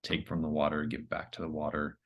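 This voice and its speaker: A man's voice: very deep voice, monotone, brisk, a bit bright, muffled recording. A man talking casually as a player in a tabletop roleplaying game.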